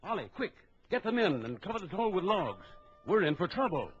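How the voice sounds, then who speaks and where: An actor playing a bold big-game trapper in an old children's adventure record, briskly instructing and giving orders. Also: male voice, high voice, big pitch swings. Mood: urgent, resolute. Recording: dull old record with background crowd noise.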